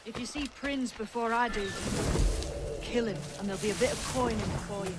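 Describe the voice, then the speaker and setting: Wry, brisk young pirate woman, played by an actress. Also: on the high side, very noisy, close to an everyday voice. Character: bold, sardonic young female pirate in man's guise. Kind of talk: video game voice acting.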